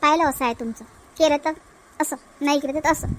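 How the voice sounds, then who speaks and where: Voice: high-pitched, a little fast, fairly bright tone, a little hiss or hum, rough recording. A performer voicing a chatty young married village housewife in a cartoon family comedy, with a childlike voice and a colloquial Vidarbha Marathi accent. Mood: exasperated.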